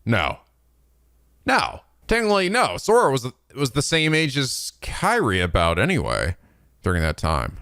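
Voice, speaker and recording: Very animated man reacting to game cutscenes. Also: medium pitch, unhurried, smooth, no echo, studio quality. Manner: sweary, incredulous, jokey. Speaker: let's play video host. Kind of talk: casual reaction commentary. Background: game cutscene music.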